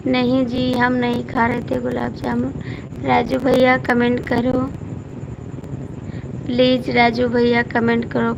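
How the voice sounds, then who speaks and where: A hoarse woman hosts a casual live stream, reading out viewer comments and coaxing people by name to comment. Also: a little high, background noise. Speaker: folk singer hosting a live stream.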